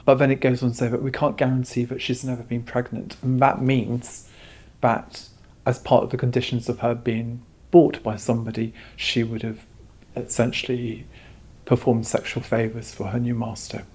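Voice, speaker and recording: Husky, even-pitched man reflecting earnestly. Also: moderate pace. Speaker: exhibition curator being interviewed. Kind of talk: explanatory interview talk.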